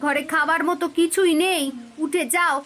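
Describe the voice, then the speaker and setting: A woman's voice: very high voice, steady tone, moderate pace, fairly bright tone. A woman playing an exasperated, nagging village housewife and mother in a cartoon, scolding and lamenting. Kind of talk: Bengali cartoon voice acting.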